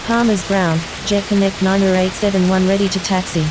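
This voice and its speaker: A clear hiss accompanies an actress as a female airline pilot. She has a medium-pitched voice and gives plain, businesslike scripted radio calls in a simulator game. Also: level tone, a little fast, natural voice. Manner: businesslike, procedural. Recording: clear hiss, rain-like static.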